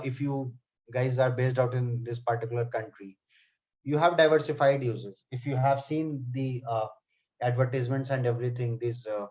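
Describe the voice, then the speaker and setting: A man's voice: mid-range voice, a little mellow. Man teaching a live online class. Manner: addresses students by name, frequent "okay" and "right". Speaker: software testing trainer. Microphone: computer mic on a video call. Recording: slightly dull online call audio.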